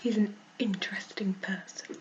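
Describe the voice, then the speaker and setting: A woman with a cold and a croaky voice, frankly venting in a casual vlog and laughing often. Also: medium pitch, fairly even intonation, moderate pace, whispered, lo-fi sound. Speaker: vlogger.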